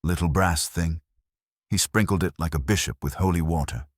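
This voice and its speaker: A man voicing a sharp, opinionated forty-year-old woman florist in an audiobook. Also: low-pitched, moderate pace, no room sound, studio quality. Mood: matter-of-fact. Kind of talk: audiobook character dialogue.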